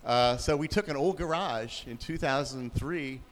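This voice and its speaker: A man with a very low voice, a youth pastor, telling his story in a relaxed, plain-spoken way in a church. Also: measured pace. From Pennsylvania, USA.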